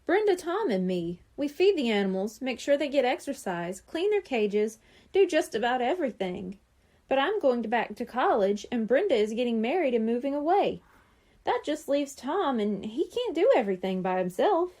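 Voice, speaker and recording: Woman as storybook zoo man, friendly, helpful, plain-spoken, mellow, lively pitch. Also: normal speed. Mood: regretful. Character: friendly male petting zoo worker, regretful, helpful. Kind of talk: children's storybook character voice.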